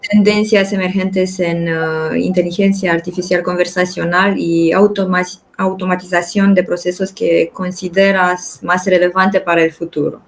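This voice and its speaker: Lower-voiced woman moderating a webinar. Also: little pitch movement, moderate pace, hardly any pauses.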